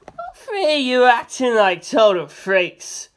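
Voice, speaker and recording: Flippant male gangster, as a story narrator reads him, raised pitch, mocking. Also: sing-song, takes it slowly, a little hiss or hum.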